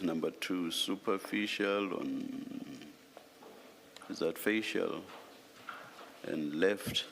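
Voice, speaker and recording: A man's voice: sits low, vocal fry, background noise. A man chairing a formal hearing, questioning hesitantly and repeating words as he thinks aloud. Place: hearing room.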